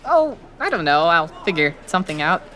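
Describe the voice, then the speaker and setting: An actor playing a shy high school boy who doubts himself and speaks hesitantly in an audio drama. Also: sing-song, fairly quick. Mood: shy, defensive.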